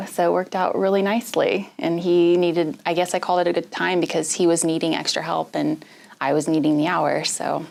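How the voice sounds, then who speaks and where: A young woman from rural Kansas tells the story of landing her internship. Her voice sits very low with a gravelly edge, and her chatty account is dotted with ums. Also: darkish tone. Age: young adult. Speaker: graduate student intern. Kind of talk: interview testimonial.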